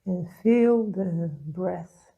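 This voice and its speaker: A woman leading a guided meditation, speaking in a soothing, gentle way with long pauses between phrases. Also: lowish voice, creaky, husky, phone-quality sound. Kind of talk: guided meditation, calm instruction.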